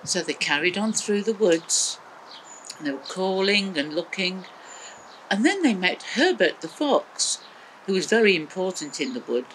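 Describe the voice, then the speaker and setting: A woman's voice: fairly low pitch, very animated, a little slow, fairly bright tone, a little hiss or hum, poor audio. An older woman with an English accent tells a children's story expressively in simple phrases. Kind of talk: children's storytelling, talking to small listeners. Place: outdoors in a small back garden.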